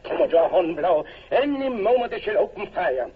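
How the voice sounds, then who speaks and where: Formal, stilted old general. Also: male voice, high-pitched, sing-song, normal speed. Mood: formal watchful. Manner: formal, slightly stilted foreign-sounding phrasing. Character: stiff foreign general on a battlefield watch. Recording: muffled old radio broadcast with faint hiss.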